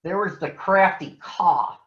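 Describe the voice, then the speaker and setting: An earnest, protective panther, played by a man, narrates a storybook jungle tale. The voice is measured and a little high. It sounds roomy, with slightly muffled lo-fi audio. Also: lively intonation, fairly bright tone, heavily acted. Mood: earnest storytelling. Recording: roomy echo, dull lo-fi sound.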